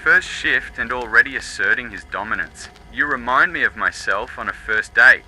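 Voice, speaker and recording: Guy doing cocky laddish recon worker, audiobook drama, really sharp voice, bit of background noise. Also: medium pitch, lively intonation, moderate pace, rough recording. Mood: teasing. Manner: brash, joking, teasing. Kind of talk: dramatised audiobook radio dialogue.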